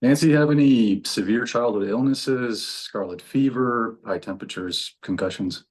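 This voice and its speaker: An actor reads as a young, wry doctor in a horror drama. His voice is mid-pitched and a bit husky, and his manner is reassuring. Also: a little slow, a little mellow. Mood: reassuring, breezy. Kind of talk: script table read of a horror film.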